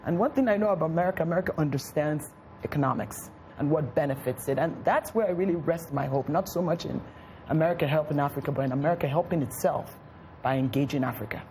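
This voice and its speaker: A woman's voice: sits low, fast talker, darkish tone, slight background noise. A woman speaking as a studio guest on a talk show, making an earnest, persuasive case.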